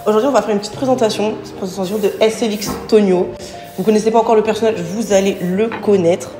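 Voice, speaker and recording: A woman's voice: medium pitch, fairly even intonation, fast. Female YouTube interviewer, upbeat slangy chat, long hall reverb, music underneath. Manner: upbeat, slangy, brief questions. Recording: echoey hall with music behind.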